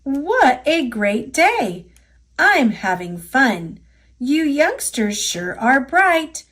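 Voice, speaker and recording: A woman's voice: high-pitched, big pitch swings, very slow, a bit bright, exaggerated voice. An American woman voicing a cocky, playful little gingerbread man from a storybook, chanting boastful taunts in a sing-song manner.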